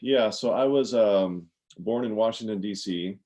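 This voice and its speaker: Earnest thirties male guest, deep-pitched, rambling storyteller. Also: measured pace, warm tone. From Maryland, USA. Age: 30s. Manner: earnest, many fillers and restarts. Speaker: podcast guest. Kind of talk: casual interview storytelling.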